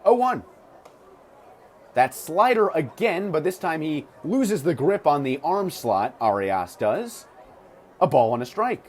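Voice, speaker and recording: A man's voice: fairly high pitch, big pitch swings, slow pace. A man doing live radio play-by-play of a baseball game as the announcer, with clipped, descriptive calls. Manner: clipped, descriptive calls that swell on big plays. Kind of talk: live sports commentary. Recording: clear ambient ballpark noise behind voice. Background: ballpark ambience.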